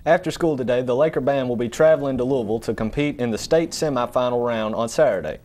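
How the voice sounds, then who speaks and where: A man's voice: medium pitch, fast, very noisy. A teenage boy anchoring a school newscast, reading upbeat news items and teasing his co-anchor in a studio. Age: teens. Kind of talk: read newscast with banter.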